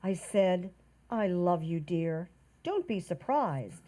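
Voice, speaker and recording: Woman as a mellow, sing-song housemaid. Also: on the low side, animated, slow. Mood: meek, dreamy. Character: timid, romantic housemaid, a deferential woman. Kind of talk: old-time radio sitcom acting in community theater.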